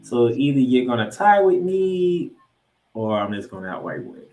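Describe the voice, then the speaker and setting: A man's voice: medium pitch, very animated, normal speed, warm tone. An American man hosting a football podcast, giving a recap and preview with an emphatic, colloquial delivery. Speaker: sports podcast host. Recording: roomy, echoing sound.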